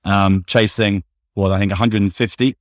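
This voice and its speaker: A man hosting an interview, asking questions in a measured, conversational way with some fillers. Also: fairly low pitch, steady tone, no room sound, clean studio sound. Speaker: podcast host, interviewer.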